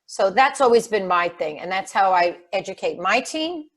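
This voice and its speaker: A woman speaks as a panelist over a video call at a middle pitch. She talks earnestly and casually, with some fillers. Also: expressive, normal speed. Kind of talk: webinar panel discussion.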